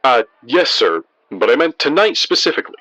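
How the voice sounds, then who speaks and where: A man's voice: medium pitch, very animated, a little fast, bright, forceful tone. A man playing an eager, pushy TV news field reporter, delivering a live report in an audio drama. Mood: polite, puzzled. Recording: dull sound with clear noise.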